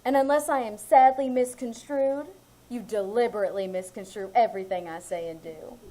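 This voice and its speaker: A woman's voice: a little high, moderate pace, warm tone, natural voice. A woman playing a pompous young office secretary in a live 1940s-style radio comedy, speaking in a prim, affected way and showing off big words. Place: live theater stage.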